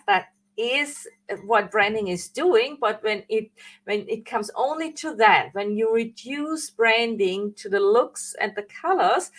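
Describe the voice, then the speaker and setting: German-accented woman coaching with halting restarts. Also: fairly high pitch. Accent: Austrian German. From Austria. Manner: earnest, halting, frequent self-corrections and fillers. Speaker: branding coach. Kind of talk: live training talk.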